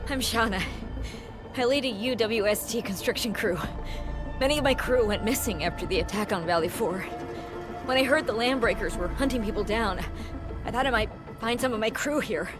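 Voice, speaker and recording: An actress playing a shaken but plucky construction crew leader in a video game, earnest and plain-spoken. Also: female voice, a little high, normal speed, over music, lightly acted. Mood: earnest, frank.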